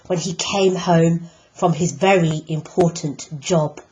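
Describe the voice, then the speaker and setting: A woman teacher reading a picture book aloud, slow and deliberate with emphatic pauses. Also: on the low side, steady tone, dark tone, lo-fi sound, slight background noise. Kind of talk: storybook read-aloud.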